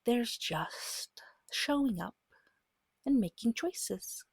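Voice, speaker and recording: A woman giving a soft-spoken ASMR guide as a game master, gentle, patient and reassuring, with a breathy voice that often slips into a whisper, close to the mic. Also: fairly high pitch, animated, very slow, dry recording. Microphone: close microphone.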